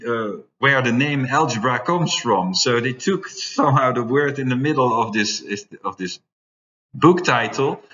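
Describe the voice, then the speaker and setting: A man's voice: medium pitch, a bit bright. A man in his forties, an English speaker, giving a recorded math lecture at a whiteboard, explaining step by step with frequent fillers. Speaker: university lecturer. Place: classroom with whiteboard, indoors. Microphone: far camera mic. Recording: dull, roomy classroom sound.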